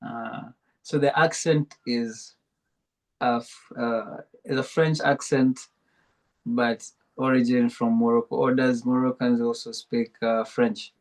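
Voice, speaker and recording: A man's voice: medium pitch, fairly even intonation, slow pace, a little mellow, poor audio. A man hosting a podcast interview, reading sponsor plugs and asking questions with lots of hesitant "um"s. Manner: hesitant, many "um"s. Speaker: podcast host.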